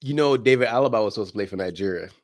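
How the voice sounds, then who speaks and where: Male podcast guest, very quick, emphatic casual talk, close dry sound. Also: lowish voice, no echo. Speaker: guest, soccer podcast creator. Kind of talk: animated casual interview chat.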